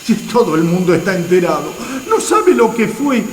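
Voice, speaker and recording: A man's voice: high-pitched, very animated, normal speed. An actor playing an aggrieved, melodramatic invisible man in a radio play, complaining with dramatic emphasis. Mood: indignant. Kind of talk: radio theatre acting. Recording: echoey, slightly noisy room.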